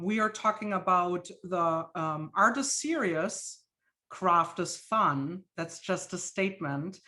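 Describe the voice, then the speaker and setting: A woman's voice: mid-range voice, pushed and bright. A woman from Germany with a German accent, hosting an art webinar over a video call in a casual, chatty way with many fillers. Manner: chatty, with frequent fillers and laughs. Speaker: host. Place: home studio.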